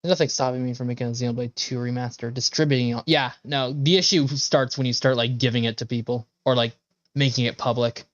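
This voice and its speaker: A man's voice: mid-range voice, fairly even intonation, a little fast, dry recording. A male game streamer with a mid-range voice talks casually to his viewers. He rambles, opinionated and sweary. The sound is dry and a little dull.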